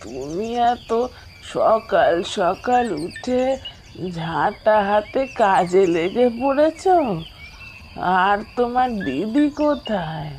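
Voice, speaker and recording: An old village grandmother from a cartoon folk tale, acted by a woman. She is caring and shrewd, with a medium-pitched voice. Her delivery is very slow and measured, with an inquisitive bent. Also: animated, darkish tone, slight background noise. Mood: curious. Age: older. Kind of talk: Bengali moral-tale cartoon voice acting.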